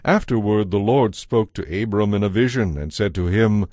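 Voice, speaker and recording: A man narrating scripture from a script as a solemn, measured storyteller. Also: on the low side, darkish tone, dry recording, clean studio sound.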